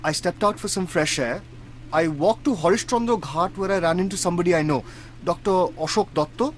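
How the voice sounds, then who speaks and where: An actor with an Indian accent playing a defensive, resentful household employee in a radio drama, agitated and emotional. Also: male voice, high-pitched, a little fast, slight background noise, natural voice. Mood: guarded.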